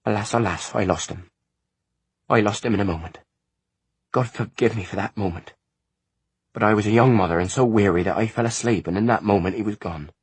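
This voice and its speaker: A man's voice: fairly low pitch, fairly quick, pauses often, slightly rough, dry recording, pitched above the performer's own voice. A man voicing a weary, grieving peasant mother, sorrowful and pleading, in an audiobook.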